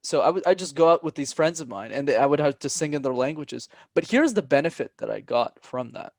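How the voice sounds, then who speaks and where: Clear-spoken young man with gravelly edge. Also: a little high, expressive, darkish tone, no echo, clean studio sound. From Canada. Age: young adult. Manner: clear deliberate enunciation, coaching tone. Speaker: public speaking coach, guest. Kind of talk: podcast interview.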